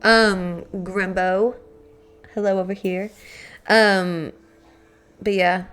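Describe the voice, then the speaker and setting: Raspy young woman chatting on stream. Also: medium pitch, fairly even intonation, extremely slow, a bit bright. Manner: chatty, rambling, swearing, many fillers. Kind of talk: casual live-stream chat with viewers. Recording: faint background music.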